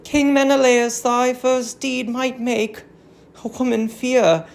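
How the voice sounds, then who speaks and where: A performer as poised queen, raised pitch. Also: fairly even intonation, slow, creaky, slight background noise. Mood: composed appeal. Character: poised, gentle, unafraid young queen Helen. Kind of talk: dramatic verse tragedy reading.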